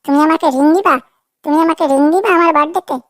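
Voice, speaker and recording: A woman's voice: extremely high pitch, quick pace. A woman voicing a coy, demanding young girlfriend in a Bengali comedy cartoon, whiny and pleading, often repeating herself.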